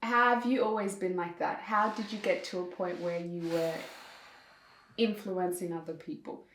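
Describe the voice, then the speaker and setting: A young woman hosting an interview, asking questions in a slow, gentle way. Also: lowish voice, fairly even intonation, slight background noise. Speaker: host. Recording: echoey room.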